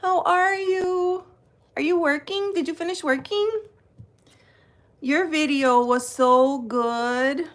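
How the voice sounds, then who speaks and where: A woman from New Jersey hosts a live stream, speaking slowly and unhurriedly as she shows cards. Her voice sits a little high, and she keeps greeting viewers by name in a chatty way. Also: fairly bright tone. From New Jersey, United States. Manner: slow, unhurried delivery. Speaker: tarot channel host. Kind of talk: live-stream unboxing chat.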